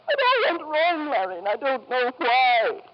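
A woman's voice: high voice, huge pitch swings, a little slow, full character voice. An actress playing a grieving, hard-drinking middle-aged mother in an old-time radio drama, bitter, weary and theatrical. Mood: anguished. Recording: dull, noisy 1940s-50s radio recording.

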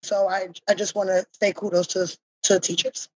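A mother on a discussion panel talks with earnest gratitude, pausing often to find her words. Her voice is slightly breathy, and the sound is dry and close, with no room around it. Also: mid-range voice, level tone, dry recording, highs cut off. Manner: earnest, grateful, thinks aloud with pauses. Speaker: parent panelist.

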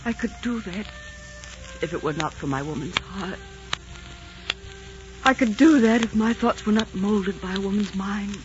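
A woman's voice: mid-range voice, measured pace, pauses often, music in the background, close to an everyday voice. An actress playing a passionate, despairing queen in love, in theatrical, romantic 1940s radio drama style. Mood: yearning. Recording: muffled 1940s radio recording.

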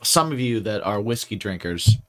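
A man's voice: fairly low pitch, animated, normal speed. A man co-hosting a podcast, chatting casually and rambling playfully with many fillers. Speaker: podcast co-host. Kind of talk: casual chat.

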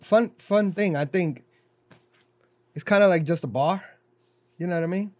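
Animated joking man in a dry room. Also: moderate pace, no echo. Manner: joking, animated, jumps into high mock voices. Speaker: podcast co-host. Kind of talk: casual banter.

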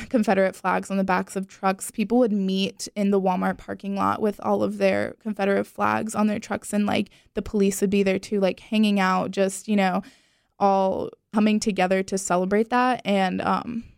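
A young woman from the rural Carolinas talks through personal memories in an unhurried, halting way, stopping often to search for words. Her voice has a gravelly edge yet stays smooth and clear. It is recorded close and dry. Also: mid-range voice, little pitch movement, no room sound. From rural North and South Carolina, US. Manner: hesitant, many filler pauses. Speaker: discussion participant. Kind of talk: casual personal storytelling.

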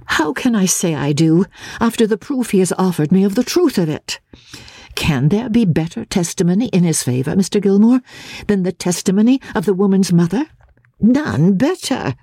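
A woman voicing a resolute but anxious Victorian gentlewoman in a dramatic audiobook reading, earnest and vehement. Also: medium pitch, expressive, normal speed, slightly rough, dry recording, clean studio sound, pitched above the performer's own voice. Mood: firm, insistent.

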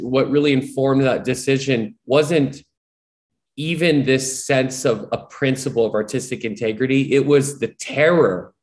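A man's voice: mid-range voice, a little mellow. A man from Hawaii, a musician guest, telling his story in an earnest, rambling way, with many "you know"s. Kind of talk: casual reflective storytelling.

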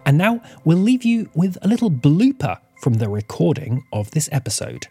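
A man announces podcast credits from a script. His voice sits on the high side and moves around expressively, with clear music behind it. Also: sing-song, moderate pace, mellow. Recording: music under the voice.

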